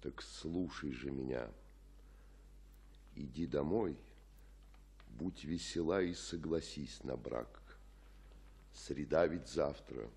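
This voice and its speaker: An actor playing an older, fatherly friar in a radio tragedy, speaking in a husky, measured, solemn declamation. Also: a bass voice, a little mellow, exaggerated voice. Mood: grave, secretive. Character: grave fatherly friar counselling a desperate girl. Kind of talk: Russian radio play acting of classical tragedy.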